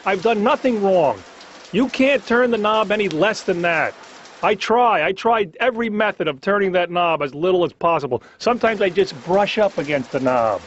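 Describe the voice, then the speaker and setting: High-voiced, exasperated male comedian. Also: slight background noise. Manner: exasperated, neurotic complaining. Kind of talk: conversational observational comedy monologue. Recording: slightly dull lo-fi cartoon soundtrack.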